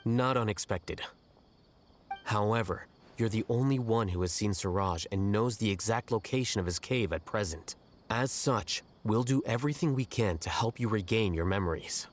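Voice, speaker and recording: An actor playing a blunt, aloof male scholar in a game, measured and condescending. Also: lowish voice, slight background noise, lightly acted. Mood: cool. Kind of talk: game voice acting.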